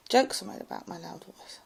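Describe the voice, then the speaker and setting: A woman with a posh English public school accent and a slight clipped American edge, telling her own story in a loud, rambling way with many hesitations. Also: medium pitch, vocal fry, husky. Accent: posh English public school with a slight American Ivy League clip. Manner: hesitant, many fillers and restarts. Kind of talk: rambling personal monologue.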